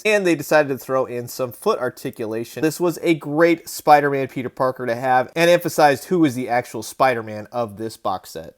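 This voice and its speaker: Male toy-review host, slightly high voice, casual and enthusiastic, animated storyteller who leans on key words.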